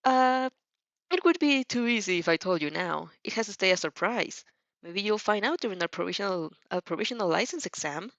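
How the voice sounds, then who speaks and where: Woman acting a lively teenage hero girl. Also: mid-range voice, a little fast, dry recording, highs cut off. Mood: upbeat. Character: composed, lightly amused teenage girl hero student.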